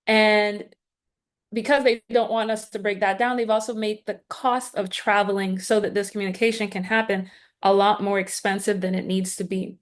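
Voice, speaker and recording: A woman's voice: medium pitch, steady tone, moderate pace. Earnest woman from Guyana explaining. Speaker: guest speaker. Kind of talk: lecture-style answer on a video call.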